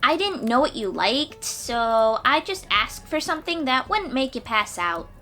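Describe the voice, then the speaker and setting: A woman's voice: high voice, very animated, unhurried, pushed and bright, faint music behind, heavily acted. A woman voicing a confident, chatty thirteen-year-old sea-dweller girl warrior in a fantasy audio roleplay, perky and informal. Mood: friendly, confident.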